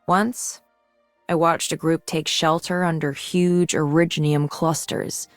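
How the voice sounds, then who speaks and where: A woman voicing a mature, resolute and idealistic swordswoman in a video game, composed and earnest in her measured delivery. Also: deep voice, little pitch movement, no echo, soft background music. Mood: composed, encouraging. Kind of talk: scripted video game character lines.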